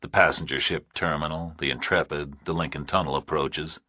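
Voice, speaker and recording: A man's voice: rumbling low, steady tone, normal speed, bright, forceful tone, husky, no room sound, studio quality. A man reading scripted thriller narration as an audiobook narrator.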